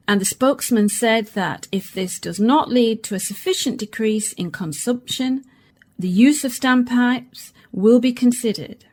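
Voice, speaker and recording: Radio drama actress: sober British woman reading news, slightly high pitch, formal and measured. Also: darkish tone, lightly acted.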